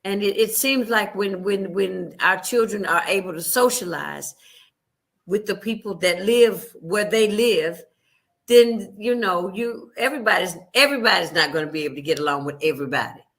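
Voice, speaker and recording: A woman's voice: mid-range voice, normal speed, fairly bright tone. A woman host with a Louisville, Kentucky accent, chatting in an affirming, conversational way during an interview.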